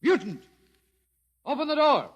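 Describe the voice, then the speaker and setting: Scornful lieutenant, wildly swinging pitch. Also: male voice, very animated, measured pace, a bit bright, heavily acted. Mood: barking orders. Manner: brusque, sarcastic, commanding. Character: scornful, hard-bitten military lieutenant barking orders. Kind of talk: old-time radio drama acting.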